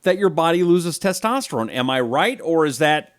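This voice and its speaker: A man with a slightly high voice and a very lively swing in pitch. He co-hosts a health chat in a joking, animated way, asking plenty of questions. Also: normal speed. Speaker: co-host. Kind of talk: casual chat.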